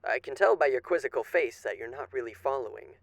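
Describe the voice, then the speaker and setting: A courteous, menacing male demon of the radio for an ASMR roleplay. His mid-pitched voice has a creaky, gravelly edge. He draws out his phrases like a genteel showman, teasing and faintly threatening, in a very dry, intimate space. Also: lively intonation, no echo. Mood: coaxing, condescending. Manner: genteel, mock-polite, teasing, drawn-out theatrical phrasing. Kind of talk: theatrical ASMR roleplay monologue.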